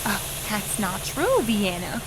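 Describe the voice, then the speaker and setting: Woman acting a slow, gloating villainous pony mare. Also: mid-range voice, sing-song, background noise. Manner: theatrical, taunting, drawn-out delivery. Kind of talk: dramatic audio drama acting.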